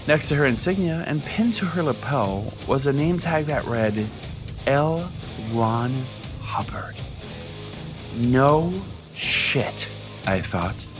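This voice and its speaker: A man playing a self-important, foul-mouthed conspiracy pastor, rambling earnestly as if hosting his own comedy podcast. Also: medium pitch. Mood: rambling, bewildered. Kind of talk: mock podcast monologue, comedic storytelling.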